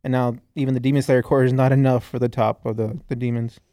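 A man's voice: medium pitch, level tone, fast, mellow, no echo, clean studio sound. A man co-hosting a casual podcast chat, rambling with frequent swearing and laughs, from the southern United States.

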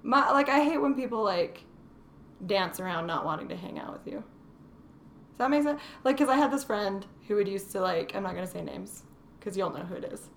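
Young woman podcast co-host, sarcastic and jokey, vocal fry, slight background hiss. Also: medium pitch, expressive, normal speed. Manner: sarcastic, joking, laughs often. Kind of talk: casual chat. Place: small room, mic on table. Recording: faint background noise.

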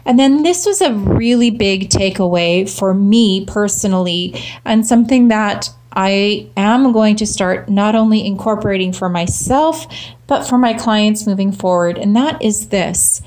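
A woman hosting a social media marketing show, talking casually and emphatically, her voice slightly rough from cheering. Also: mid-range voice, a little slow, darkish tone, a little hiss or hum. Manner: upbeat, emphatic repetition. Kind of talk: casual solo talk to camera.

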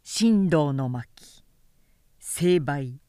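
Slow, measured woman audiobook narrator. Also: low voice, lively intonation, mellow, smooth, dry recording, clean studio sound. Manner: measured, deliberate. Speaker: professional narrator.

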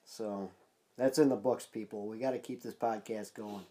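A man's voice: on the low side, fairly even intonation, moderate pace, dark tone. A man co-hosting a wrestling podcast in casual chat, sounding stuffed up and nasal from congestion. He rambles loosely, with fillers and restarts. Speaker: podcast co-host.

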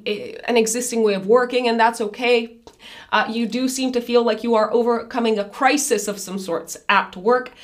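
Hoarse, raspy higher-voiced woman astrologer. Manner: chatty, addresses viewers fondly, many fillers and restarts. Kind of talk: monthly horoscope forecast to camera.

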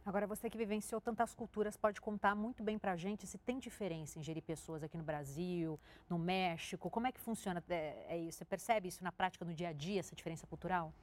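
A woman's voice: fairly low pitch, steady tone, quick pace, few pauses. A woman interviewing a business executive for a TV program, asking polite, conversational questions.

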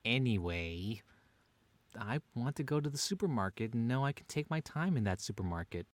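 A man's voice: mid-range voice, normal speed, dry recording, close to an everyday voice. A man playing a long-suffering husband and father who banters drily in a scripted audio comedy drama. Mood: deadpan exasperated. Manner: dry, deadpan, bantering.

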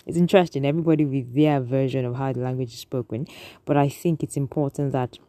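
Nigerian woman, low voice, mellow tone, earnest lecturing monologue, dry sound. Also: steady tone, fast talker, no room sound. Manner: earnest, lecturing, frequent restarts. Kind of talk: opinion monologue to an audience.

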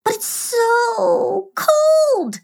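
A woman voicing a whiny, excitable little girl in a storybook reading, with a high squealing whine. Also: very slow, creaky, exaggerated voice. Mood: whining.

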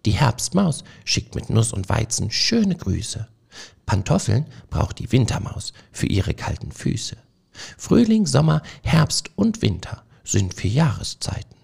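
Ein Mann, der eine sanfte, verträumte männliche Feldmaus spricht, ruhig und bescheiden. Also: male voice, mid-range voice, unhurried, creaky, breathy edge, pitched above the performer's own voice, full character voice. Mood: reciting. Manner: soft, calm and modest. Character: gentle dreamy male field mouse poet.

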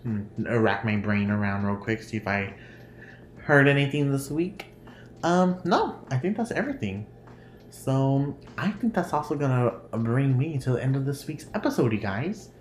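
A man's voice: mid-range voice, lively intonation, moderate pace. Casual solo podcast host, man, nasal, giggly and rambling; roomy echo with faint background noise. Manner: rambling, giggly, laughs often.

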